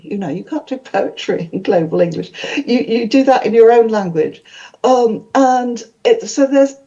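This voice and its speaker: An older woman whose first language is Welsh, speaking thoughtfully on a conference panel with halting restarts. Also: a little high, dark tone, muffled recording. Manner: thoughtful, many fillers and restarts.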